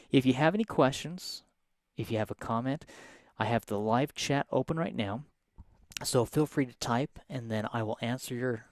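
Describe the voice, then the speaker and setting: A soft-spoken man, teaching a live piano lesson and explaining each step in a friendly way. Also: medium pitch, dry recording, clean studio sound.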